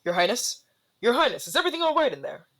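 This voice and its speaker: A man's voice: very high-pitched, sing-song, fast, pushed and bright, heavily acted. A man voicing a deferential, easily panicked castle servant in a fantasy ASMR roleplay, formal and apologetic. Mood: alarmed.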